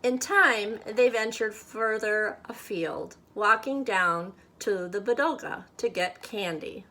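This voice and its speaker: A woman reading a children's picture book aloud expressively, with measured, dramatic phrasing meant for young listeners. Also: medium pitch, pushed and bright. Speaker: storybook reader.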